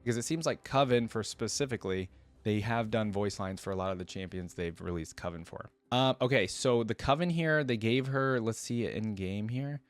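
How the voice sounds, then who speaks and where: A man's voice: lowish voice, normal speed, dry recording. Laaggestemde man, droge opname, informele reactievideo. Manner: informal, slangy, rambling, enthusiastic. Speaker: reaction video host. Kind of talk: casual unscripted reaction commentary.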